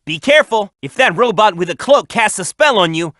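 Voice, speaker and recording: A man voicing a cocky, energetic young cartoon hedgehog hero in a video game, brisk and confident. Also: sits high, no room sound, studio quality. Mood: alert, confident. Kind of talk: video game character voice acting, tutorial hints and quips.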